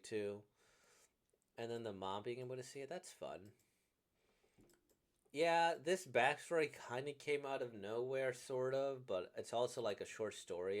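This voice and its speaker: A man giving casual, off-the-cuff commentary on a game, rambling as he thinks out loud and now and then voicing imagined lines. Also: medium pitch. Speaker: streamer reacting to a visual novel.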